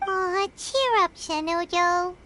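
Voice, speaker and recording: A woman voicing a small, perky, childlike fairy companion with a squeaky voice in a video game. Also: slow pace, bright, forceful tone, slight background noise, heavily acted. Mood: consoling. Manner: perky, blunt, refers to self by name. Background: game music.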